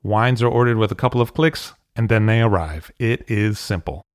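A man's voice: on the low side, normal speed, no echo, studio quality. A man hosting a podcast, reading an ad script evenly and asking interview questions. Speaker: podcast host.

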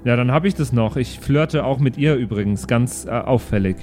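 Male tabletop player, average pitch, casual improvised game talk over a light music bed. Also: normal speed, darkish tone. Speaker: role-play player.

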